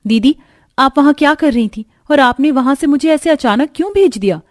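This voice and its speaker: A woman's voice: sits high, a little fast, lightly acted. A woman voicing an eager, caring young sister-in-law in a cartoon story, speaking in an insistent, supportive way. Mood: puzzled. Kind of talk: Hindi cartoon story dialogue.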